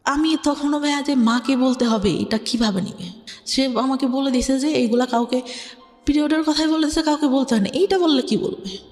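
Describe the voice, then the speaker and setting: A young woman with a Bangladeshi Bengali accent tells her life story to an interviewer, speaking softly and hesitantly with many filler words. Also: high-pitched, moderate pace, big-room sound, faint music behind. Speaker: interview guest. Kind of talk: personal life story in conversation.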